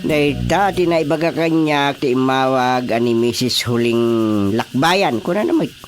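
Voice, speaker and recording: A man's voice: mid-range voice, normal speed, over music, close to an everyday voice. An actor with an Ilocano accent playing a chatty, teasing elderly village chairman in a radio drama comedy. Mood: informative.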